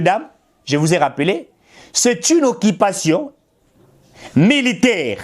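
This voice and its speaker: A man's voice: fairly high pitch, darkish tone. A middle-aged man from DR Congo with a Congolese French accent, speaking as a political commentator straight to his audience, emphatic and insistent. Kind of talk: impassioned direct-to-camera address.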